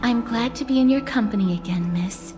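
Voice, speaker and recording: An actress playing a soft-spoken, solemn young woman in a video game, talking politely and in a subdued, earnest way. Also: fairly high pitch, a little fast, over music, natural voice. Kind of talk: scripted video game dialogue.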